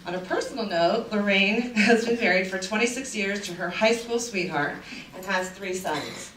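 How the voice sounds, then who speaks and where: A woman introduces the morning's speaker in a measured, formal way, with a few fillers. She sounds far from the mic, the room is echoey, and steady background noise is clearly heard. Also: medium pitch. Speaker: parent committee representative introducing the speaker. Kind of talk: event introduction. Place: school event room.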